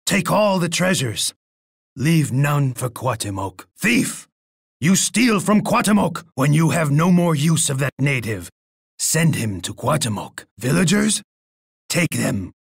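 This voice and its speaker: A bloodthirsty, imperious Aztec emperor from a strategy video game. His voice is heavily gravelled and rough, recorded dry and close. He delivers every line with pompous, theatrical menace, boasting grandly about himself. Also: on the high side, sing-song, a little slow, no room sound, exaggerated voice. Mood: indignant. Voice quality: gravelly, raspy. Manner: pompous, speaks of himself in third person.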